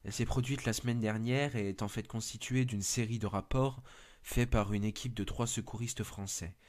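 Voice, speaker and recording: A man's voice: lowish voice, fairly even intonation, normal speed, a little mellow. A man narrating a scripted first-person horror story.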